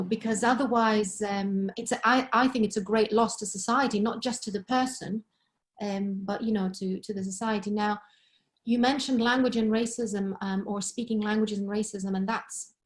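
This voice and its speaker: A woman in her thirties, a guest expert, speaking in a measured way from her living room on a video call, thinking aloud with frequent "you know"s. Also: medium pitch. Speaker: guest expert on language and culture. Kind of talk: webinar discussion. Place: living room at home, indoors. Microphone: laptop webcam on a video call.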